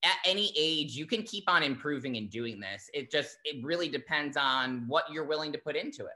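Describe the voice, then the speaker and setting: A fitness coach talking in a casual interview, animated and chatty, with lots of fillers. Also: mid-range voice, brisk.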